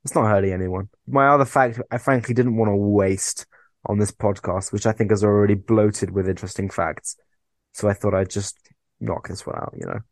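A man's voice: fairly low pitch, steady tone, moderate pace, dry recording. A young man co-hosting a podcast, bantering in a teasing, mock-indignant way. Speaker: podcast co-host. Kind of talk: casual chat.

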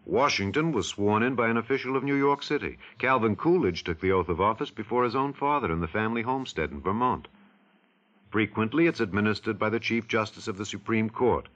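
A man's voice: lowish voice, a little fast, a bit bright. An American man delivering scripted vintage radio narration as an announcer, solemn, emphatic and rhetorical. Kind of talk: scripted mid-century radio civics narration. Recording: dull vintage radio recording.

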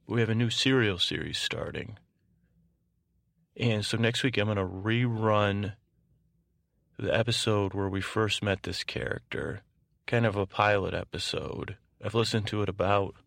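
A man hosting a podcast, talking informally in a halting, meandering way and often breaking off to circle back. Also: fairly low pitch, vocal fry, fairly bright tone, dry recording, clean studio sound. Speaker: podcast host. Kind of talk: rambling informal podcast announcements for a bedtime show.

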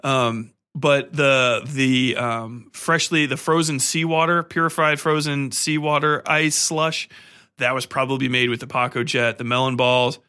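A man hosting a podcast, giving casual, rambling film commentary in an excitable, emphatic, sweary way. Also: mid-range voice, unhurried, clear, resonant tone. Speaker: podcast host.

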